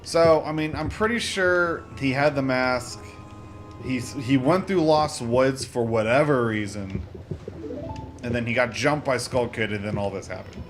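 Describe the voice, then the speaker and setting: A man's voice: medium pitch, measured pace. A young man hosting a let's-play, chatting casually and rambling with lots of fillers and self-corrections. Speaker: gaming channel host. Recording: game music playing behind voice. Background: video game music.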